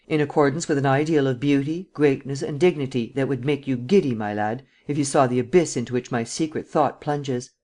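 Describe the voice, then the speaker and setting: A man voicing a coolly sardonic, persuasive prince in an audiobook, speaking with deliberate, imperious command. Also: medium pitch, darkish tone, natural voice. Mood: forceful, eager. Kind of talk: dramatic audiobook character acting.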